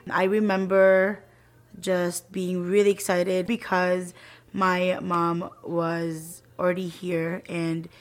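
A woman's voice: on the low side, level tone, slow. A woman in her twenties from the Philippines, chatting informally as a podcast guest and telling her own story in youthful, slangy phrasing. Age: 20s. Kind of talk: casual chat, telling personal story.

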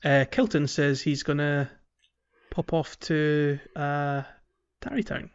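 A man's voice: fairly high pitch, takes it slowly, smooth, lo-fi sound. A man co-hosting a podcast in a casual, conversational way, hesitant and thinking aloud as he talks about a video game. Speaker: podcast co-host.

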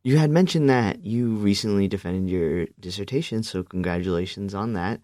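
Male co-host, low voice, polite and encouraging, dry studio sound. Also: moderate pace, a little mellow, dry recording. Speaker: podcast co-host. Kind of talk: interviewing.

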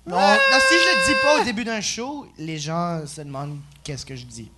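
A man with a faded Acadian accent, a comedian guest explaining in a measured way. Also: fairly high pitch, huge pitch swings, heavy background noise. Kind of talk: casual podcast banter.

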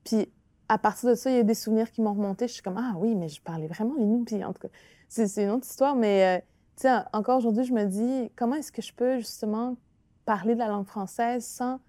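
Woman, Quebec French accent, Innu native speaker, mellow warm tone, dry close sound, reflective and halting. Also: a little high, no room sound. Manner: thoughtful, hesitant, many restarts and "tu sais". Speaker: author and artist guest. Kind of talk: reflective conversational interview.

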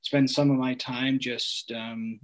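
A man, chatting casually as a guest in an interview, relaxed, with frequent laughs and many fillers. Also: mid-range voice, slow. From Newfoundland, Canada. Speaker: food bank guest.

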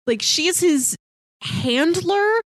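A woman's voice: very high voice, expressive, very slow, no room sound, studio quality. A woman podcast co-host in a casual film discussion, chatty and musing aloud. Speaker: podcast co-host reviewing films.

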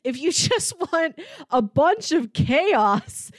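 A woman's voice: high-pitched, big pitch swings, slow pace, dry recording, clean studio sound. A woman co-hosting a podcast, talking about film casually and enthusiastically, with chuckles as she goes.

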